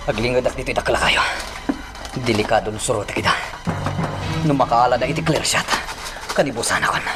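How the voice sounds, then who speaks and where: A man's voice: mid-range voice, a little fast, over loud music, close to an everyday voice. A man playing a wary gunman who narrates his danger in a radio drama. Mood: wary, tense.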